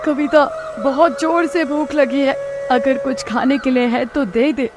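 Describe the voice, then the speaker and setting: A woman's voice: high-pitched, steady tone, a little slow, over music. A woman voicing a poor, kind-hearted village husband in a cartoon moral story, humble, earnest and plaintive. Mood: weary, worried.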